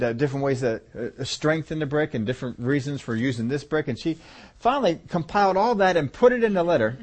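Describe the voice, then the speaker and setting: A man telling a story in a sermon, folksy and chuckling now and then, dropping low and soft on key lines.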